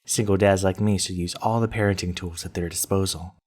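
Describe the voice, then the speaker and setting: A man reading a scripted first-person horror story in a deliberate storytelling manner. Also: sits low, steady tone, a little mellow. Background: plucked guitar music.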